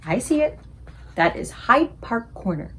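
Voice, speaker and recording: Woman as an urgent, high-voiced little storybook girl. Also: big pitch swings, measured pace, slight background noise. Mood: urgent, anxious. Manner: urgent, hushed questioning. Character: small girl, urgent, excitable, cautious, riding in giant's ear. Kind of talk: children's book character voice.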